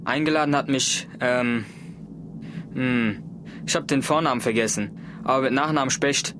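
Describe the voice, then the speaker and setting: A male actor plays a casual young forum user with a medium-pitched voice. He reads chat messages in an offhand, slightly unsure way, against clearly noisy clicking. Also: normal speed, natural voice.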